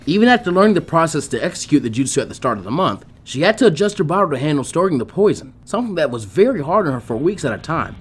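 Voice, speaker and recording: A man reading a fan fiction story aloud as an animated narrator. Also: a little high, slightly rough.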